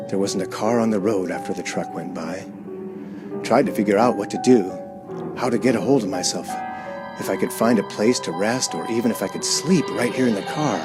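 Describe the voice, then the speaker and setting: A man's voice: on the low side, close to an everyday voice. A man playing a frightened, haunted driver in a radio play, agitated and stammering as he pleads and narrates. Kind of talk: radio play acting, dramatic first-person narration.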